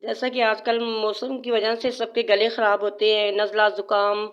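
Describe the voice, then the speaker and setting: A woman's voice: medium pitch, completely flat delivery, normal speed, muffled recording. A woman with a sore throat, hosting a cooking channel and patiently explaining each step of a recipe in a home kitchen. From Pakistan. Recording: echoey room.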